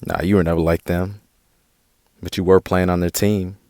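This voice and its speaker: A man's voice: low-pitched, level tone, moderate pace, darkish tone, no echo. A man playing a hurt, defiant younger brother in a naturalistic audio drama, with an American accent and brooding, slangy speech. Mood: bitter, resigned.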